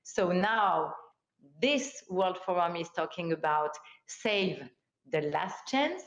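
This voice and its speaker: A woman's voice: fairly low pitch, expressive, takes it slowly. A woman hosting an online forum interview, speaking with enthusiasm and non-native phrasing. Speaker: host. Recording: roomy, dull lo-fi sound.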